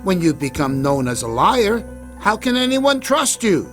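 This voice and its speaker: A man acts out a scolding parent in a children's story. The stern figure lectures firmly in a high, animated voice. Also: a little slow, soft background music, exaggerated voice. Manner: firm, lecturing. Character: scolding mother and father, stern moralizing parents.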